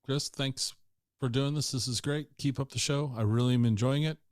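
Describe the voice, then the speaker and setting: A man's voice: medium pitch, fairly even intonation, moderate pace, dry recording. American man hosting, dry, close sound. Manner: informal, rambling. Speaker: podcast host, interviewer. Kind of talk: casual interview chat.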